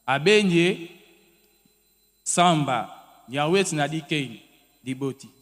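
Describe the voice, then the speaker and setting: A young man from Gabon, giving a measured, formal welcome as a student. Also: on the high side, animated, fairly bright tone. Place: hotel ceremony hall. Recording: echoey hall.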